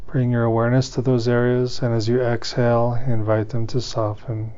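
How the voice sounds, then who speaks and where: Even-toned male meditation guide, muffled sound. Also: mid-range voice, smooth. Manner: unhurried phrases with pauses, gently instructing. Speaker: meditation teacher.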